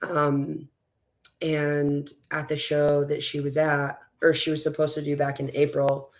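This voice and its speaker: A woman with a raspy, strained voice, a school board candidate talking as a guest in a relaxed interview, rambling with lots of fillers and restarts. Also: low-pitched, measured pace. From West Texas. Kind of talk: casual conversational interview.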